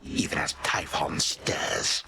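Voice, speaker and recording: A man's voice: slow pace, background noise, close to an everyday voice. An actor playing an ancient titan tyrant in a video game, pompous and sardonic, speaking in formal archaic phrases with menacing grandfatherly condescension. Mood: condescending menace. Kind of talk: theatrical video game villain acting. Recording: studio voice over game music.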